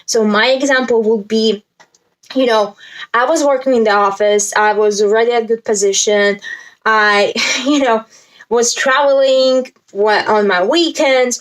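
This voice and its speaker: Slow, higher-pitched Latvian-accented young woman. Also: rough recording. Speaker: spiritual self-help vlogger. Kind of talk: casual talk to camera, explaining a list.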